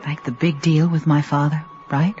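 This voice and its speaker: An actress playing a firm, skeptical scientist who runs a space observatory in a radio drama. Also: female voice, low-pitched, level tone, normal speed, a little mellow, close to an everyday voice. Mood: bitter. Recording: dull vintage radio recording with music.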